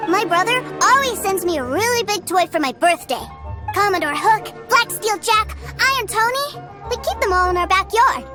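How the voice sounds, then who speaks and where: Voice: extremely high pitch, expressive, normal speed. A performer voicing a curious, innocent little boy in a video game, speaking in a wide-eyed, earnest way. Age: young child character. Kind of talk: video game character acting. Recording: loud music under the voice.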